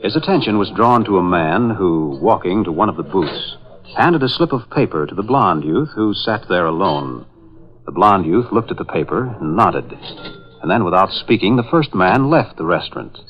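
A man's voice: sits low, expressive, normal speed, muffled recording. An American man announcing and narrating in dramatic, emphatic 1940s radio style.

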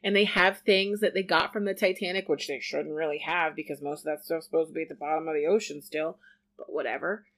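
A woman co-hosting a casual podcast, talking in a chatty, animated way and laughing easily. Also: low voice, fast. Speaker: podcast co-host.

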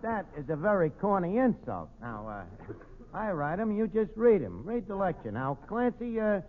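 A slangy New tavern schemer in a 1940s radio comedy. His high voice rises and falls freely as he hems and wisecracks in cocky, broken grammar. The sound is a muffled old broadcast. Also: sing-song, moderate pace, a little hiss or hum. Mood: bossy, cocky. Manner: hesitant hemming, mangled grammar, wisecracking.

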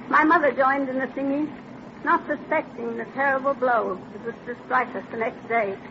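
Pioneer woman looking back on girlhood played by an actress, plain wistful storytelling, high pitch, muffled old radio recording. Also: natural voice. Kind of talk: old-time radio drama narration. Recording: dull vintage radio recording, faint background noise.

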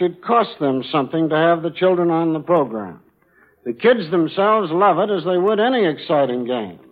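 An American man giving a formal prepared address as a guest judge on a vintage radio recording. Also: high voice. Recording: vintage radio, narrow telephone-like band.

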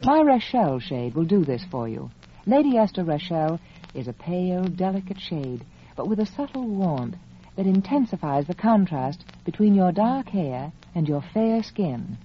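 A woman delivers a 1940s radio beauty pitch in a lowish, highly animated voice. She is confiding and emphatic, selling persuasively. The old recording has faint noise. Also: normal speed. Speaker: cosmetics spokeswoman. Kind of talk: 1940s radio advertisement, scripted sales talk. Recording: muffled, faintly noisy vintage radio recording.

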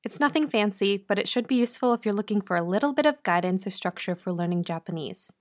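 A woman hosting a podcast, talking clearly and breaking phrases down slowly like a teacher. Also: medium pitch, lively intonation. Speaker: podcast host. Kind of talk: scripted podcast intro and interview.

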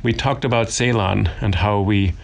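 A man's voice: lowish voice, normal speed, gravelly. A man whose first language is German, hosting a podcast and interviewing a guest in a thoughtful, earnest way. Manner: thoughtful, earnest, lively when chatting. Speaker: podcast host. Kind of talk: interview and scripted intro.

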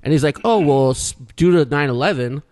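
A man's voice: a little high, lively intonation, brisk, darkish tone. A man in his forties, a podcast co-host telling a long, rambling story with lots of swearing and restarts. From Canada. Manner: rambling, profane, restarts often. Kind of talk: casual storytelling.

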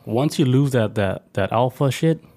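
American male co-host, mellow, relaxed tone at middling pitch, casual comedic banter, slangy and profane. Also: normal speed. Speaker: podcast co-host.

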